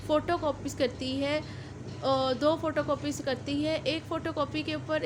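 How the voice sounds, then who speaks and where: A woman's voice: high voice, noisy background. A woman with a Pakistani accent giving an explanatory law lecture step by step, rephrasing her points and mixing in English legal terms.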